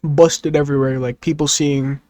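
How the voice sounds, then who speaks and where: A man's voice: on the high side, flat delivery, normal speed, mellow, a little hiss or hum. A man chatting casually as a video host, giving reaction commentary and theories.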